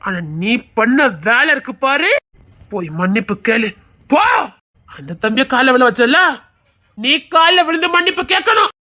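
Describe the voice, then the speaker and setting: A man's voice: extremely high pitch, expressive, a little slow, pushed and bright, exaggerated voice. An actor playing a stern, principled father who firmly scolds his daughter. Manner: firm, scolding.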